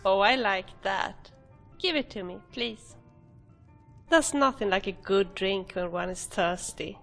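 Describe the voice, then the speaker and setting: A woman voicing an affectionate, jealous adventurer companion in a fantasy game, with a creaky voice. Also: medium pitch, a little slow, fairly bright tone, faint music behind.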